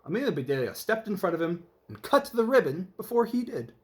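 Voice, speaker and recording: A man's voice: sits high, sing-song, a little fast. A man narrating a children's story aloud in an emphatic, theatrical way. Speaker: storybook reader.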